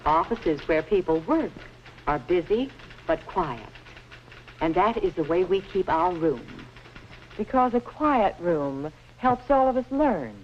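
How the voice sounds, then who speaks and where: A patient, orderly schoolteacher of the 1950s explains things in a lively, carefully phrased way. It is scripted classroom-film speech, with a muffled vintage sound and faint hiss. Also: female voice, fairly low pitch. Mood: patient explaining. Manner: measured, didactic, carefully phrased. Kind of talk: scripted educational film acting.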